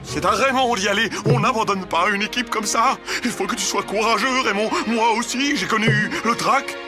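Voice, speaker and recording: A man's voice: sits high, normal speed, close to an everyday voice. An actor playing theatrical, overwrought male cartoon schoolteachers with an exclamatory, exaggerated delivery. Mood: melodramatic. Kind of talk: French cartoon voice acting. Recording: music behind the voice.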